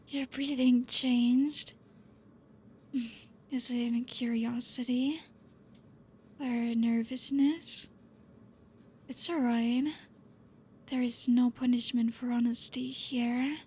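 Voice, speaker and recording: A woman playing a breathy, possessive vampire teacher. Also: on the high side, exaggerated voice. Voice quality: soft, breathy, half-whispered. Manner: slow, intimate, gently teasing. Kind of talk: ASMR roleplay.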